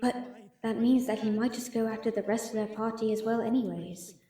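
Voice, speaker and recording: A roomy, slightly distant recording of a captive merchant acted by a woman in a fantasy audio drama. She mutters to herself in a higher-pitched voice, sarcastic and anxious. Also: steady tone, brisk, mellow, close to an everyday voice. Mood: sardonic, wry. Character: captive female merchant, sardonic, anxious, quick-witted. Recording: roomy, echoing, off-mic sound.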